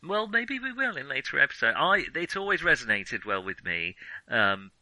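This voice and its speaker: A man's voice: medium pitch, sing-song, moderate pace, sharp tone, dry recording, low-quality recording. A man co-hosting a podcast, chatting casually about films in a hesitant, circling way. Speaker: podcast co-host. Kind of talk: casual film discussion.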